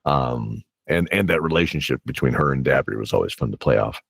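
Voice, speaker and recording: A man's voice: extremely low pitch, lively intonation, brisk, creaky, husky, no echo, studio quality. A man hosting an interview show, talking in a casual, conversational style. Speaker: podcast host and interviewer. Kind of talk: casual interview chat with a scripted intro.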